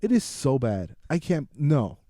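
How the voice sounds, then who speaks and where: Mellow, dark-voiced man chatting about movies. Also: mid-range voice, sing-song, a little slow. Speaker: podcast co-host reviewing films. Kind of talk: casual movie banter with swearing.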